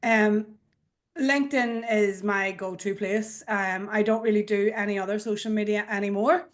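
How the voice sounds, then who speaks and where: A woman's voice: medium pitch, fairly even intonation, normal speed. A woman with a head cold, sounding stuffed up, introducing her training work on a video call with many ums. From Australia. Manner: fluent, with frequent ums and throat clearing. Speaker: cybersecurity trainer and speaker.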